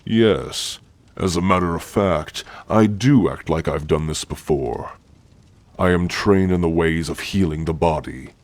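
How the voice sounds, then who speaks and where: A man voicing a stern, gruffly caring orc warrior-healer in an ASMR roleplay, speaking in a formal, commanding way. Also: sits low, a little slow, dry recording. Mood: gruff, guarded. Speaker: roleplay voice actor.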